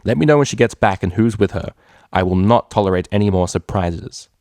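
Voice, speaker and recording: A man's voice: lowish voice, animated, fairly quick, darkish tone, dry recording, studio quality. A man voicing an imperious elegant mare, a cold villainess with a haughty, commanding manner in a story reading.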